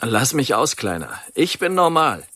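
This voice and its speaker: A man's voice: medium pitch, very animated, a little slow, pushed and bright, no echo, studio quality, exaggerated voice. An actor playing a brash young male crook in a radio play, offhand and dismissive, in an outdoor scene. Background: crickets and outdoor ambience.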